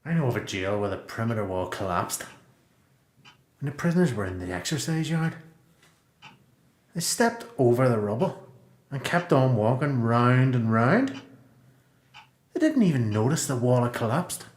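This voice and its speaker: In a strong echo, a grave male prisoner , acted by a man, delivers a radio play monologue. His pitch is middling and moves widely as he tells his tale in measured phrases. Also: big pitch swings, natural voice. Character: grave male prisoner telling a bleak parable. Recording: echoing, roomy sound.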